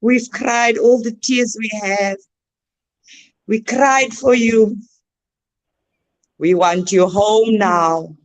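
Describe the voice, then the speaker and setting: Slow, impassioned Cape Flats woman. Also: fairly high pitch. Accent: South African, Cape Flats. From South Africa. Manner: slow, declaiming, preacherly. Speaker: guest. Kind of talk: impassioned online discussion.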